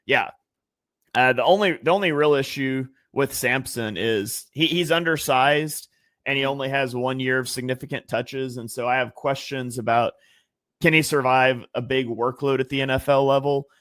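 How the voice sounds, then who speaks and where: A man's voice: medium pitch, fairly even intonation, moderate pace, a bit bright, no room sound, studio quality. A man talking casually as a guest analyst on a sports podcast, thinking aloud with fillers and restarts.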